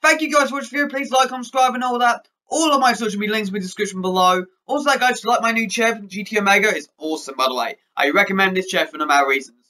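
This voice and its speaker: A man's voice: high voice, rapid-fire, hardly any pauses, pushed and bright. A young man talking casually to a webcam in his bedroom, rambling with frequent restarts and a stutter. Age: young adult. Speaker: YouTuber. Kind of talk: casual vlog update. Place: bedroom with posters, indoors.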